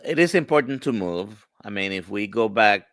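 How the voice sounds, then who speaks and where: A man with a Spanish accent, a physician guest answering interview questions in a measured, explanatory way over a video call, pausing on "uh". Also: lowish voice, animated, a bit bright, no room sound, studio quality. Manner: measured, explanatory, many "uh" pauses. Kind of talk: live-stream interview answers.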